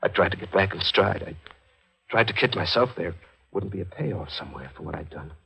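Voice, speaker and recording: An actor playing a guilt-ridden newspaper reporter in a 1940s radio mystery, confessing in a halting, anguished way. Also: male voice, sits low, lively intonation, vocal fry, sharp tone, husky, full character voice, poor audio. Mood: weary, remorseful.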